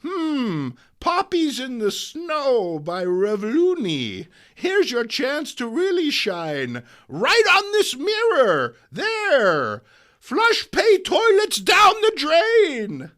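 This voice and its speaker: Man putting on a high-pitched indignant old lady. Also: wildly swooping pitch, very slow, fairly bright tone, heavily acted. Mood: kindly, determined. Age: older. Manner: exclamatory, old-fashioned turns of phrase. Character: feisty, righteous old woman crusader, sweet but indignant. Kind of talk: comic book dramatic reading.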